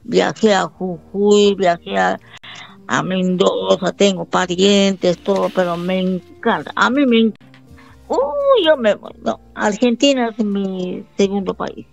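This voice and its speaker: A woman from Bolivia taking part in a call-in conversation, talking in halting, repetitive phrases with affectionate warmth. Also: medium pitch, animated, gravelly, highs cut off, faint music behind. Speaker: caller. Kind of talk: phone-in conversation.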